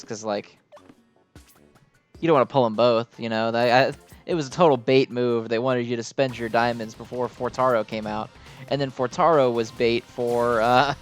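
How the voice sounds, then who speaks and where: A man giving casual, rambling gameplay commentary with a fan's enthusiasm and some mild swearing. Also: medium pitch. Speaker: gamer commenting on own gameplay.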